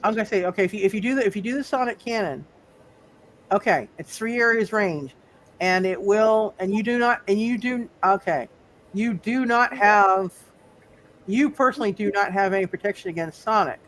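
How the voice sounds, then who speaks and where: A game master casually refereeing a tabletop roleplay session, thinking aloud in repeated, halting phrases. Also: medium pitch, fairly bright tone, slight background noise.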